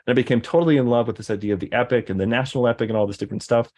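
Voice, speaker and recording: A man's voice: mid-range voice, racing, a little mellow. A man in casual conversation, rambling, with many fillers and restarts. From American Deep South. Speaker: guest.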